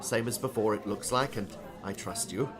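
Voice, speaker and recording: A man playing a genial, corny retired professor turned novelist, older, courteous and gently philosophical, in an audiobook drama. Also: on the low side, moderate pace, crowd noise, close to an everyday voice. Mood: cheerful.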